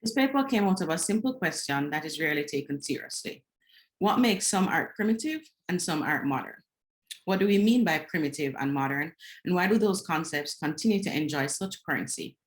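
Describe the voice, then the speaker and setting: A woman with a low-pitched voice reads a scholarly paper from a script. She presents as an academic and curator at a conference. The sound is roomy, with noticeable echo around her words.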